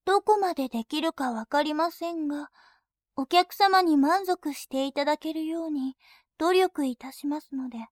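A woman voicing a composed female bank clerk who speaks in very formal, deliberate language and turns dominating and scornful. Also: sits high, no room sound, clean studio sound, lightly acted. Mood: polite teasing. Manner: very formal polite speech, slow and deliberate. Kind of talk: adult audio drama acting.

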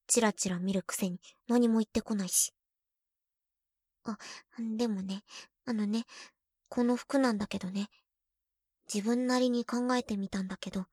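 A woman playing a teasing breathy young girlfriend. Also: mid-range voice, no room sound, clean studio sound, natural voice. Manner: playful teasing, drifts into soft whispers. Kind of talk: ASMR girlfriend roleplay.

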